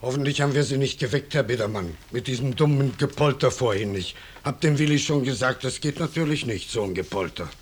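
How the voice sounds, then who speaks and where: An actor playing a cheeky, disarmingly polite uninvited lodger with a husky voice, in 1950s German radio drama style. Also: male voice, medium pitch, fairly quick, a little hiss or hum, lightly acted. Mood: ingratiating.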